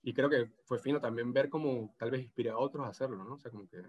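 Venezuelan gocho-accented man in his thirties, guest in a relaxed interview, hesitant delivery. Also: mid-range voice, fast, highs cut off. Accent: Venezuelan Andean (gocho) Spanish. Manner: hesitant, many restarts and fillers. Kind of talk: casual video interview.

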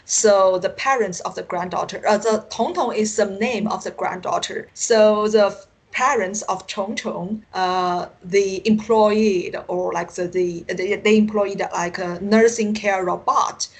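Woman, Chinese accent, native Chinese speaker, middle-pitched, halting delivery, searching for words, interview guest. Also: steady tone, slightly rough. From China. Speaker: guest author. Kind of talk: interview conversation. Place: remote internet call.

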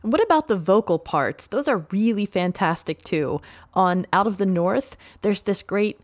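A woman's voice: medium pitch, lively intonation, moderate pace, no echo. A woman interviewing a composer, asking curious, enthusiastic questions.

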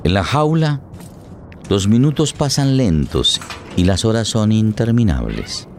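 A man's voice: fairly low pitch, sing-song, measured pace, a little mellow, slight background noise. A man reading dramatic scripted narration for a radio drama. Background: music.

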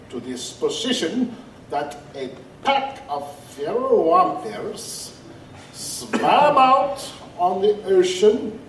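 Slow, swooping, haughty vampire. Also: male voice, very high-pitched, exaggerated voice. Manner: formal, deliberate, drawn-out phrasing. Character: courtly, haughty male vampire liaison, formal and condescending. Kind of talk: steampunk audio drama acting. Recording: echoey, distant, faint noise.

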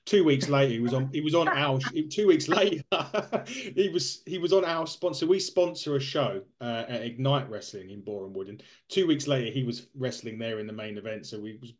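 Male interview host, mid pitch, friendly, quick with a joke. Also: animated. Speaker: podcast host. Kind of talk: casual interview chat.

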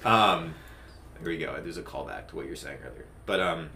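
A young man co-hosting a podcast in casual chat, speaking in a low, gravelly, monotone way, measured and a little hesitant. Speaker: podcast co-host.

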